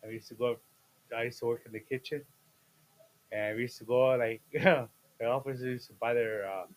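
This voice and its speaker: A man in his fifties, a guest chatting casually on a podcast, playful and jokey, with sudden loud bursts and laughs mid-sentence. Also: mid-range voice, fairly even intonation, moderate pace. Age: 50s. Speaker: guest, host's father.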